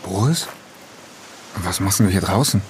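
An actor playing an uneasy man on a trip with friends in a naturalistic audio drama, speaking hesitantly and haltingly. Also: low voice, expressive, background noise. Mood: puzzled, uneasy.

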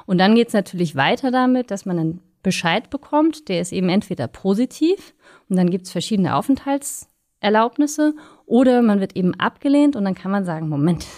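A woman's voice: medium pitch, expressive, fairly quick, darkish tone, no room sound, studio quality. A woman speaking as an expert guest in an interview, explaining things in an engaged, detailed way.

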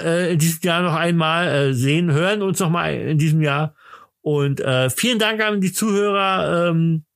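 A middle-aged man with a Berlin accent, chatting casually as a podcast host in a rambling, halting, jokey way. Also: a little high, fairly bright tone, dry recording, studio quality. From Berlin, Germany.

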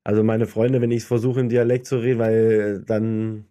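A man's voice: fairly low pitch, little pitch movement, normal speed, warm tone. A young man from southern Germany with a light Berlin accent, chatting casually in a colloquial, self-deprecating way as an interview guest. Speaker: guest, professional ice hockey player. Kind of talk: casual chat.

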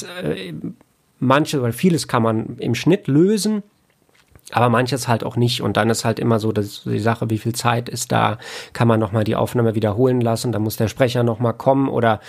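A man talking casually as an interview guest, explaining his work in a reflective, halting way with repeated words. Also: mid-range voice, a little mellow. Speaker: podcast guest, audiobook editor and audio drama producer.

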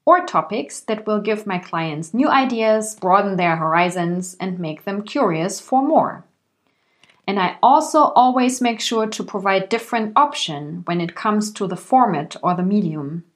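A woman with a German accent, a native German speaker, hosting her own podcast as an English coach. She speaks carefully and clearly at a measured pace. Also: mid-range voice, lively intonation. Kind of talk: solo podcast monologue.

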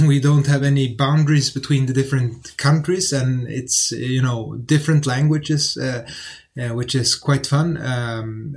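A man from Sweden whose first languages are Syriac and Arabic, answering interview questions as a business development lead in a relaxed, friendly way with light humor. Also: on the high side.

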